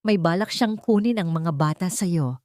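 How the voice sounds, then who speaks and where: A woman voicing a wealthy elderly mother in a radio drama, gentle in manner with a hidden coldness. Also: lowish voice, normal speed, dry recording, studio quality, lightly acted. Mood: coldly persuasive. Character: wealthy elderly mother, sweet-talking but coldly scheming. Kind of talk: Filipino radio drama acting.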